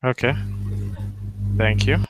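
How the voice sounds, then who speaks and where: A man presenting at a meetup, speaking in a slow, halting way. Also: mid-range voice, background noise. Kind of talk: casual chat.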